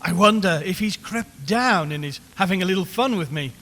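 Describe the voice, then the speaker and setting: A man's voice: high voice, animated, moderate pace, fairly bright tone. A man playing a nervous, wryly chatty radio reporter giving a live commentary from a haunted house in an audio drama. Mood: rattled, wry. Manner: chatty, wry, increasingly uneasy. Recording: long reverberant hall, background noise.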